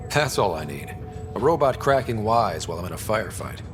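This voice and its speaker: An actor playing a young male space explorer in a video game, wry and warm, given to dry banter. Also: lowish voice, very animated, a little fast, music in the background. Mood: dry, easygoing.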